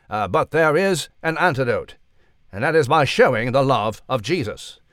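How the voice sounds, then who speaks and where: A man performs a prim little British mouse who delivers mock news for a children's podcast. He is courteous, wordy and pompous. The voice is fairly high and swoops with animation, recorded close and dry. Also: no echo. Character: prim, eloquent, courteous male mouse newsreader.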